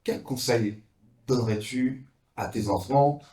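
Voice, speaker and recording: Casting: man as conversational interviewer, warm dark tone, careful and hesitant, echoing room sound. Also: medium pitch, slow pace. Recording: roomy, echoing live sound.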